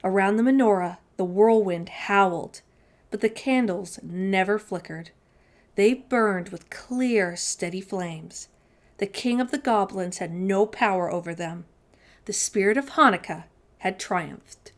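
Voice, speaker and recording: A woman's voice: medium pitch, unhurried. A woman hosting children's storytime, reading a storybook aloud with dramatic, suspenseful phrasing.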